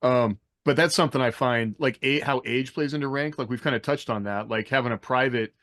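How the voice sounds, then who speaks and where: A man's voice: mid-range voice, fairly quick, fairly bright tone, no room sound, clean studio sound. An American man co-hosting a podcast in a casual, rambling, anecdotal way, peppering his talk with "like". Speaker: podcast co-host. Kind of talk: casual chat about military life and fiction.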